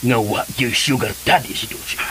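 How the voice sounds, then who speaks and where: A man's voice: mid-range voice, moderate pace, pushed and bright, natural voice, rough recording. A man with a Cebuano accent playing an earnest, probing middle-aged father in a radio drama. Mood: calm, assured. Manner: insistent, questioning. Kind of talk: Filipino radio drama acting. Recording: music under the dialogue.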